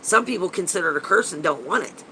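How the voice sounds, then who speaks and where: A man in his fifties talks with a bright, pressed-forward edge, a little high for a man. He sounds stuffy and nasal, and he rambles and gushes, often restarting his sentences. A faint hiss sits under his voice. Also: expressive, fast, low-quality recording. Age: 50s. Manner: rambling and gushing, with frequent restarts and casual swearing. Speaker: paranormal investigator hosting a live chat. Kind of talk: casual livestream chat answering viewers.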